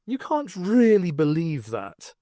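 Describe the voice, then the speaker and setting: A quick-witted woman adventurer, played by a man reading an audiobook, inquisitive and insistent. The voice is lifted high and swoops about as she presses her questions with emphasis. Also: huge pitch swings, mellow, no room sound, clean studio sound, exaggerated voice.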